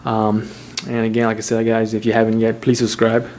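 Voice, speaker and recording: Low, monotone man explaining casually. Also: slight background noise. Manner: halting, repetitive, informal. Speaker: vlogger showing a DIY car install. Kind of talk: casual unscripted how-to narration. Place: in and around a Jeep.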